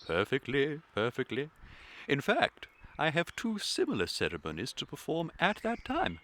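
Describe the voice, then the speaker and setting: A man's voice: on the high side, huge pitch swings, a bit bright, a little hiss or hum. An actor playing a fussy, kindly middle-aged English clergyman in a radio comedy, pompous and unctuous in manner. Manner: unctuous, deliberate, sermonising. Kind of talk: period stage comedy radio acting.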